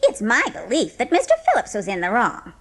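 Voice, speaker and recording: Woman doing folksy older village busybody, highish swoopy voice, knowing. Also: huge pitch swings, a little fast.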